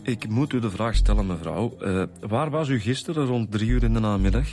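An actor with a Flemish accent playing a wry, impatient police inspector in a radio drama, dry and sardonic. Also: male voice, on the low side, lively intonation, fairly quick, music in the background. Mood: probing.

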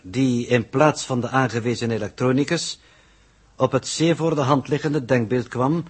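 A man's voice: medium pitch, normal speed, husky, lightly acted. A man playing a cold, exacting spaceship coordinator in a 1960s radio drama, speaking in formal, precise officialese. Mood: cold reproof. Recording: old 1960s radio recording.

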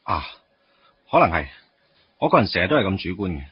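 A low, husky Hong Kong Cantonese voice playing a wistful young office man in a radio drama. His pitch moves freely as he drifts between absent-minded musing and everyday chat. The recording is slightly muffled. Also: sing-song, fairly quick, no room sound, heavily acted. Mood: sheepish. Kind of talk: Cantonese radio drama acting with inner monologue.

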